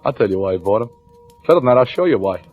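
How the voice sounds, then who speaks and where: A tenacious weary cop in an old radio show, lowish voice, talks fast, clipped and hardboiled. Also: male voice, animated. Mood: triumphant, pressing. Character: weary, shrewd male police lieutenant, tenacious and cocky. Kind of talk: old-time radio crime drama acting, first-person narration and dialogue. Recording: dull vintage radio recording with music.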